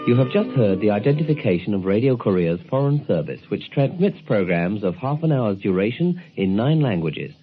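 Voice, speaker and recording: A man's voice: fairly low pitch, very animated, a little fast, few pauses. A man presenting a radio documentary in scripted narration, heard through a thin recording with music behind. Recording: thin phone-like sound, loud music behind.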